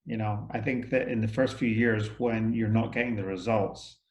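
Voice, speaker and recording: A man's voice: fairly low pitch, fairly even intonation, normal speed, darkish tone, roomy sound. A middle-aged man with a Scottish accent, talking thoughtfully as an interview guest, often restarting his sentences. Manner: thoughtful, many restarts and fillers. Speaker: outsourcing company CEO, guest. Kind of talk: casual interview talk.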